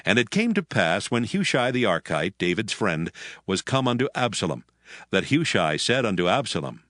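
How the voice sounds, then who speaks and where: A man's voice: fairly low pitch, big pitch swings, normal speed, fairly bright tone, no room sound, studio quality. A man narrating scripture from a script. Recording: music in background.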